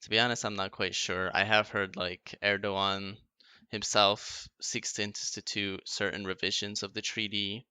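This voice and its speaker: A man's voice: on the low side, flat delivery, normal speed, pushed and bright, clear, resonant tone, no room sound. A young man co-hosting a podcast, casually explaining history with lots of fillers. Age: young adult. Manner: explains events, loose with fillers. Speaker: podcast co-host.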